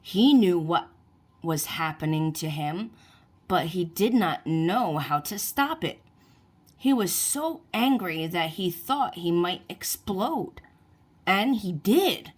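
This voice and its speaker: A woman narrates a picture book for young listeners. Her pace is slow and deliberate, and her pitch moves in a lively, expressive way. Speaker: children's book reader. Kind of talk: read-aloud storybook narration for children.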